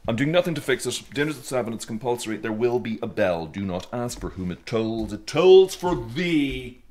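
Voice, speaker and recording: A man's voice: medium pitch, lively intonation, normal speed, a little mellow, close to an everyday voice. A man of about fifty with an Irish accent acting a grumpy, sardonic retreat manager in a radio drama, dry and mock-grandiose. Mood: bossy, deadpan. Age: middle-aged.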